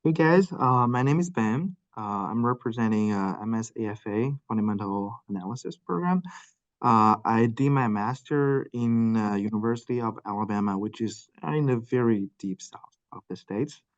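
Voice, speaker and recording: A man's voice: lowish voice, moderate pace, no echo. Hesitant man on a dull, dry line. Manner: plain, hesitant, many uh fillers. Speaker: panelist, accounting PhD.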